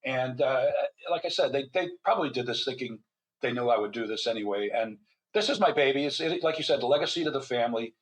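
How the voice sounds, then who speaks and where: A man's voice: medium pitch, expressive, quick pace, low-quality recording. An older man speaking as an interview guest, telling anecdotes in a rambling, conversational way. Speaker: guest author and physician.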